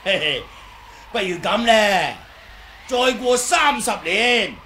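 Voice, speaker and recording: A man's voice: high voice, animated, slow, sharp tone, heavily acted. A man with a Hong Kong Cantonese accent playing a cheeky, argumentative old martial artist and sore loser in a radio drama. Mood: wheedling. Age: older. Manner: wheedling, argumentative, exclaiming and chuckling. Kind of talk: 1980s radio drama acting. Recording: noisy old 1980s radio recording.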